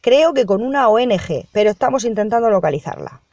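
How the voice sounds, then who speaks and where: A woman from Spain playing a formal, businesslike lawyer in a radio soap, speaking in a measured way. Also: on the high side, lively intonation, a little mellow, dry recording, muffled recording. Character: formal, businesslike female lawyer reading a will. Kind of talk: amateur radio soap acting.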